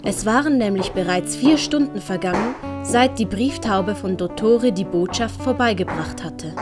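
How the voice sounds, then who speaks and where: A woman's voice: mid-range voice, moderate pace. Woman narrating a story over music. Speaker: narrator and author of audio story.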